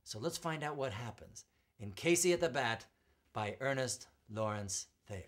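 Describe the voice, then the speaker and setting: A man performing as a poetry reader, with a theatrical, emphatic storytelling delivery and drawn-out words. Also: medium pitch, expressive. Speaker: performer reading poetry aloud. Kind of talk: dramatic poetry recitation with spoken introduction.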